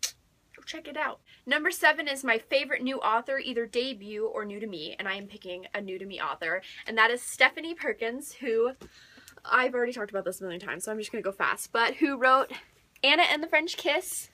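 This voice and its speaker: A young woman with an American accent and a high, bright voice. She talks like an enthusiastic book vlogger, casually addressing her viewers. Her delivery is gushing and emphatic, leaning on repeated words to make a point. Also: expressive, fairly quick. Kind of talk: casual excited chat to viewers.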